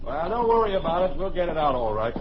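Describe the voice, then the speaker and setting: A man's voice: on the high side, sing-song, quick pace. An American man playing a good-natured, easily exasperated suburban father in a 1950s radio sitcom, on a muffled, noisy old recording. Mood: confident, testy.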